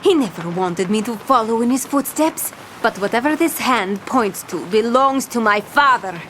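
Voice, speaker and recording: Pirate captain, female, cartoon adventure, bossy, salty slang, high pitch, very animated delivery. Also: moderate pace, a little hiss or hum. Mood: dramatic, determined. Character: bold, bossy, treasure-obsessed woman pirate captain.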